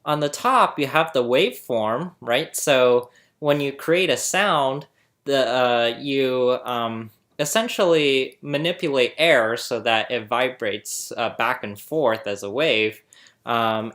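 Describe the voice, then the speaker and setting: Slow-talking man explaining with chuckles. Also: medium pitch, lively intonation, fairly bright tone. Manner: chatty, laughs often, restarts while explaining. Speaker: host explaining phonetics. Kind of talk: casual tutorial. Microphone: laptop webcam mic.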